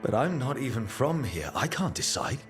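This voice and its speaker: A man's voice: medium pitch, very animated, moderate pace, close to an everyday voice. A man playing a level-headed adventurer hero in a fantasy game, sincere and questioning. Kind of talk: dramatic fantasy game acting. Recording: music under the voice.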